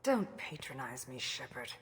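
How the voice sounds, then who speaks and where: A woman's voice: very deep voice, very animated, measured pace, fairly bright tone, a little hiss or hum, full character voice. An actress playing a paranoid, haughty, wealthy alien businesswoman in a video game, with an imperious, sardonic manner. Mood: bitter, sardonic.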